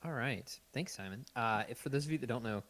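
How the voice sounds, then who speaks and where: A man's voice: medium pitch, fast, a bit bright. A man chatting casually as the host of a podcast. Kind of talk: casual live podcast chat.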